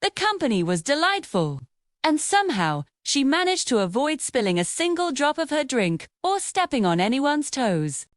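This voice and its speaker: A woman telling a children's fairy tale with an expressive, sing-song delivery. Her pitch sits a little high and swoops widely, and the recording is close and dry. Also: normal speed, no room sound. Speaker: storyteller.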